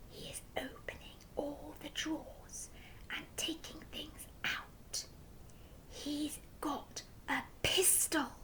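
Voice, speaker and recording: A woman reading aloud, voicing a gentle female giraffe who whispers slowly and softly. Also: high voice, pitched above the performer's own voice, low-quality recording. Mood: urgent. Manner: whispered, slow singsong. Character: gentle tall female giraffe, soft-spoken.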